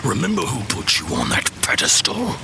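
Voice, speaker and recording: An actor playing a menacing, scheming fallen villain in a fantasy audio drama, imperious and threatening, with a deep gravelly voice. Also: male voice, wildly swooping pitch, noisy background. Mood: menacing, scornful. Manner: slow, threatening, persuasive.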